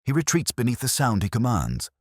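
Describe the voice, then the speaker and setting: A man's voice: mid-range voice, huge pitch swings, a little fast, no echo, studio quality, pitched above the performer's own voice. A man voicing a shrewd detective in a mystery audiobook, speaking in a clipped, precise and commanding manner. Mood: sharp, commanding.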